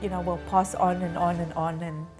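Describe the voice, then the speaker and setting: A woman in her fifties giving a reflective, halting and earnest personal account in a documentary interview. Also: fairly low pitch, level tone. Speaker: interviewee, bereaved daughter. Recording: music underneath. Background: music.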